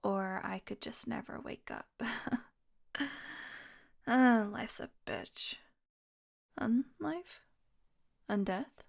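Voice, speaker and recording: Slow, creaky-voiced wounded vampire woman, played by an actress. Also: medium pitch, lively intonation, exaggerated voice. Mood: drained, wry. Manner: weary, rambling, sardonic, with sighs and breathy asides. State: exhausted, in pain. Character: wounded, exhausted young female vampire, wry and foul-mouthed. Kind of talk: intimate audio roleplay monologue.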